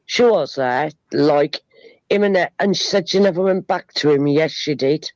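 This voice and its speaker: A woman's voice: low voice, normal speed, darkish tone, dry recording, low-quality recording. A woman in a heated argument, talking in an insistent, repetitive way.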